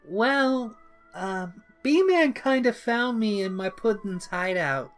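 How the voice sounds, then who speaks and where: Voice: medium pitch, expressive, slow, clean tone, soft background music, voice pushed up. A narrator voicing a bubbly, mischievous, childlike young villainess who exclaims, teases and pouts playfully in an audiobook-style story. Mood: sheepish. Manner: exclaiming, teasing, playfully pouty.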